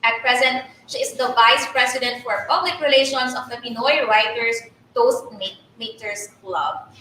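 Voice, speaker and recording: A woman with a Filipino accent co-hosting a teacher training webinar, switching between English and Tagalog in a lively manner. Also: fairly high pitch, a little slow, heavy reverb, far from the mic, slight background noise, poor audio. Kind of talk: bilingual webinar hosting, reading introductions.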